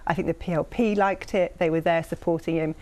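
A woman's voice: fairly low pitch, steady tone, fairly quick, darkish tone. A woman from North East England, a political commentator on a debate panel, building long, earnest, persuasive arguments.

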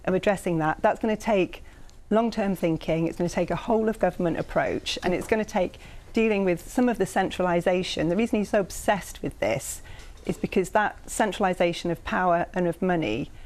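Earnest, lower-voiced woman making a long case. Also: fairly quick, a little mellow. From North East England. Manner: earnest, builds long persuasive arguments. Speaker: political commentator. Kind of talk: panel debate.

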